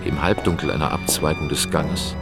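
A man's voice: rumbling low, normal speed, creaky. A man narrating a crime radio drama in a sober, scripted storytelling style. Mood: sober, suspenseful. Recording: music behind the voice. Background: background music.

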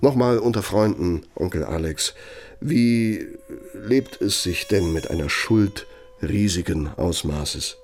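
A man's voice: low-pitched, darkish tone, soft background music. An actor playing a bitter, sardonic older former right-hand man in a radio play, speaking with needling, threatening emphasis. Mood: scornful sarcasm. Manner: sarcastic, needling, emphatic, drawn-out phrasing for menace. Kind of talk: radio play dramatic monologue.

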